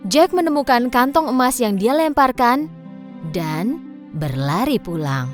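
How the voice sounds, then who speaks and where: A woman storyteller with an Indonesian accent reads a children's tale. Her voice is fairly high and very animated in pitch, with faint music behind it. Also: a little slow. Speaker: storyteller, narrator. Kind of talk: scripted children's story narration.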